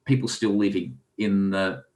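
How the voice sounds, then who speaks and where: Australian man, very low voice, podcast guest, rambling anecdotes, lots of ums. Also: fairly even intonation, moderate pace, a little mellow. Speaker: guest angler and author. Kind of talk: casual storytelling interview.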